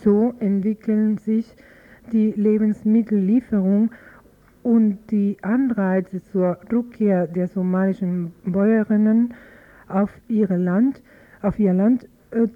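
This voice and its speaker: A woman reading a political news commentary in a steady, earnest way. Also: mid-range voice. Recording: thin, phone-like archive audio.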